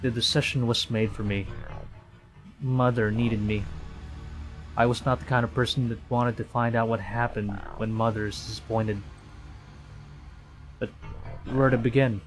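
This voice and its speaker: A man's voice: mid-range voice, fairly even intonation, moderate pace, long pauses, faint music behind, low-quality recording. A man from the Philippines streaming a game, reading narration aloud in a dramatic storytelling way and reacting to it.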